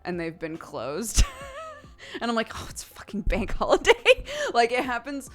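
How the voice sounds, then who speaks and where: A woman's voice: a little high, huge pitch swings, normal speed, faint music behind. A woman in her thirties streaming from home, chatting casually with her viewers, giggling often and swearing offhandedly.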